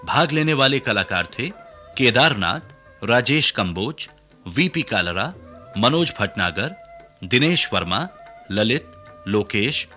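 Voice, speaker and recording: A man announcing radio drama credits in a formal, measured, scripted broadcast style. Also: medium pitch, animated, sharp tone, faint music behind.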